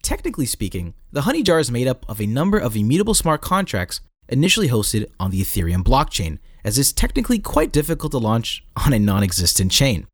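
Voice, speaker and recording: A man narrates a scripted article in a lively, swooping delivery. His emphasis is playful and theatrical, and the recording is dry, with very little room sound. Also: mid-range voice, fast talker, hardly any pauses, no echo.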